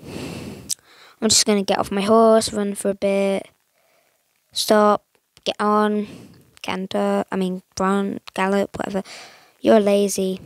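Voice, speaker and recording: A young woman gives loose, meandering commentary while she plays, filling the gaps with likes and ums. Her voice sounds a bit croaky and gravelly, and her talk is slow and unhurried. The sound is dry and close, with no room around it. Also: medium pitch, monotone, no echo. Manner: chatty, rambling with many fillers. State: slightly croaky voice. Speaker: gaming channel host. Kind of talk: casual gameplay commentary.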